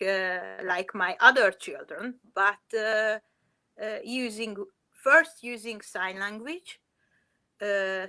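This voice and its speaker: A woman's voice: a little high, sharp tone, dry recording. A Hungarian woman speaking English with a Hungarian accent, a mother answering interview questions hesitantly with many fillers. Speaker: mother, guest.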